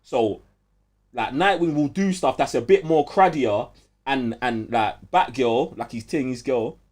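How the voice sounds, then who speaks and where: A man's voice: medium pitch, measured pace. A young man with a London accent co-hosting a podcast, chatting in heavy street slang and telling stories emphatically. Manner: animated, emphatic storytelling. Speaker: podcast co-host.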